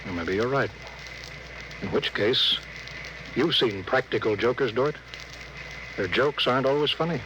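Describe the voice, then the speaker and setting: A man's voice: medium pitch, close to an everyday voice. Commanding space captain, acted by a man, noisy old radio. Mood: grave, thoughtful. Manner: authoritative, clipped, deliberate. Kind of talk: 1950s radio drama acting. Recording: noisy vintage 1950s radio broadcast.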